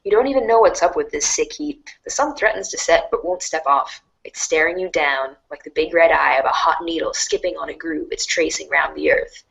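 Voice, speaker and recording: A woman's voice: low-pitched, a little fast, creaky, hardly any pauses, a bit bright, slightly rough, rough recording. A woman narrator reading a dramatic storybook-style script.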